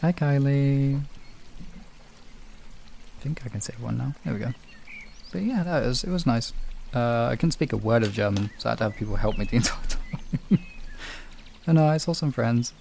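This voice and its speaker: A man's voice: mid-range voice, moderate pace, vocal fry, pauses often, darkish tone, slight background noise. A British man chatting casually on a livestream, replying to viewers in an informal, rambling, sweary way. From United Kingdom. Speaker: livestream host.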